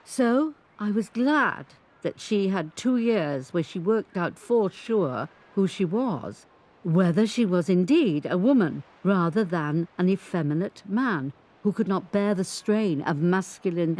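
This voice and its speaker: An actress playing a resolute grandmother in her eighties, recounting her life candidly in a measured, emphatic dramatic monologue. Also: on the low side, animated, darkish tone. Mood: firm, candid. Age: 80s. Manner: measured, emphatic, reflective storytelling. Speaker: monologue performer. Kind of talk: dramatic first-person monologue narration. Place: recording studio. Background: music.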